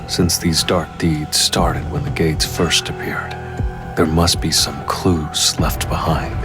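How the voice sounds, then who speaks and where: Very deep, brooding young hunter. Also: male voice. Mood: determined. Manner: measured, low-key, serious. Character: calm, resolute young male hunter, brooding protector of his family. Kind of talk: dramatic game voice acting, inner monologue and dialogue. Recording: loud background music under the voice.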